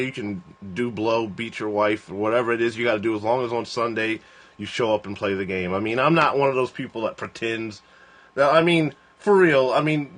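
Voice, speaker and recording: A man in his forties hosting a casual joking livestream chat, rambling and laughing as he talks. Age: 40s. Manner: rambling, laughing, lively swings in pitch. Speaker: host of a livestream. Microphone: phone.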